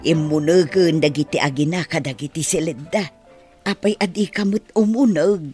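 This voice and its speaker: A woman's voice: sits low, moderate pace, dark tone, soft background music, lightly acted. A woman playing an older, plain-spoken housekeeper in a radio drama, blunt and motherly. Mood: blunt, teasing. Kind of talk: melodramatic radio drama acting.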